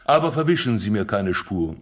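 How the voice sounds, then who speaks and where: A man playing a gruff, skeptical, grumbling police commissioner in a German radio play, blunt and exclamatory. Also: on the low side, sing-song, normal speed. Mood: skeptical, brisk.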